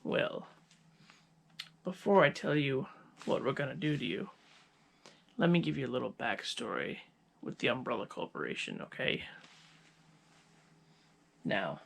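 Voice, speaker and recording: A man voicing a smug, menacing scientist villain in an ASMR roleplay, gravelly and husky, dropping toward whispers, with theatrical taunting pauses. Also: mid-range voice, lively intonation, fairly bright tone, heavily acted. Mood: gloating, taunting.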